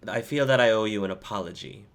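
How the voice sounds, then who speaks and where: A man voicing a female captain who speaks in blunt, short questions, in a low voice. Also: fast talker, natural voice. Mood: apologetic. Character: stern female captain, the player's sister.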